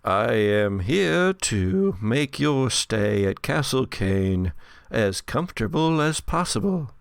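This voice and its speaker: Man voicing a slow, menacing, formal butler. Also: medium pitch, big pitch swings, creaky, clean tone, no echo, clean studio sound, exaggerated voice. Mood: courteous, insistent. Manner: formal, courteous, measured servant speech. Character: tall thin male butler, unfailingly polite, quietly menacing.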